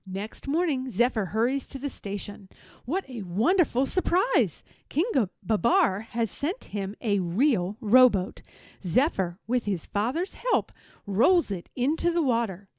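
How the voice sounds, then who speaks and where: A woman reads a children's storybook aloud in a fairly high voice with very lively swings of pitch. She is theatrical and expressive, recorded close and dry. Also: measured pace, no room sound. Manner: animated, theatrical. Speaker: storybook reader.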